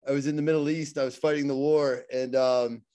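A man's voice: medium pitch, flat delivery, a little fast, dark tone. Earnest man with a Pittsburgh accent. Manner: earnest, repeats words and restarts often. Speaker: guest, motivational speaker. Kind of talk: casual interview talk.

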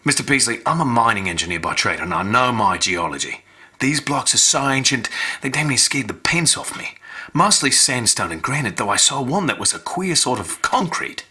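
A blunt Australian mining engineer in a radio drama. His voice is sharp and forceful with a husky grain, and it swings widely in pitch as he talks in excitable, colloquial slang. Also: normal speed, full character voice. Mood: earnest recounting.

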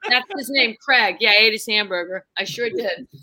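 A woman with a New York accent, telling lively stories as a podcast guest and acting out the people in them. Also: medium pitch, normal speed, noisy background. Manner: animated, acts out voices in her stories. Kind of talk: casual storytelling chat.

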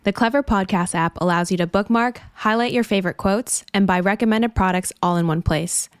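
A woman's voice: medium pitch, a little fast, smooth, no echo, clean studio sound. A woman reading a scripted, upbeat promotional ad. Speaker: ad announcer. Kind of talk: scripted ad read.

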